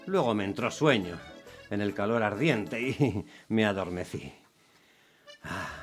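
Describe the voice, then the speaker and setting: A man playing an exasperated, unlucky taxi driver, telling his story in a wry, expressive way as radio drama. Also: lowish voice, lightly acted. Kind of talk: dramatised first-person radio narration.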